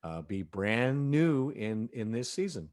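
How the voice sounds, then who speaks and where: A man who is an audio drama cast member, chatting casually in a halting, rambling, enthusiastic way. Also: mid-range voice, big pitch swings, darkish tone. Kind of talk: casual group chat.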